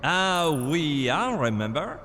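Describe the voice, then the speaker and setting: A man's voice: on the high side, sing-song, slow pace, pushed and bright, exaggerated voice. An actor playing a comical, absent-minded French professor with a heavy French accent, who mixes French words into his talk. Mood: bumbling. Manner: sprinkles French words, vague and bumbling. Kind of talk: video game voice acting.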